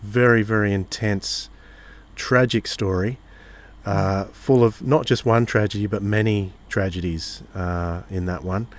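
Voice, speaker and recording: A man's voice: fairly low pitch, fairly even intonation, a little slow. A man co-hosting a podcast, chatting casually as he puts questions to a guest. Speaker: podcast co-host. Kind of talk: casual interview chat.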